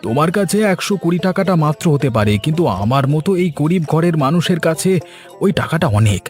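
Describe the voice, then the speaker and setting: A good-natured, hard-working poor fisherman from a cartoon folk tale, played by a man. His voice sits a little high and he talks in a folksy, homely way. Soft music plays behind him. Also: animated, quick pace, lightly acted. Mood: indignant. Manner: talks to himself aloud, folksy. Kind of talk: animated moral story voice acting.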